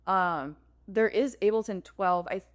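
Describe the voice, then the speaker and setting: A young adult woman with a deep, low-register voice, a music producer chatting casually as a podcast guest, filling her talk with lots of fillers. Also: unhurried.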